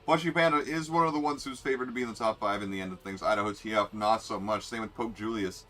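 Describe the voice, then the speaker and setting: A man's voice: fairly low pitch, fast talker, pushed and bright. A young man streaming a racing game, rambling and joking as he reads out player names, with faint music behind. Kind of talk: casual live game commentary.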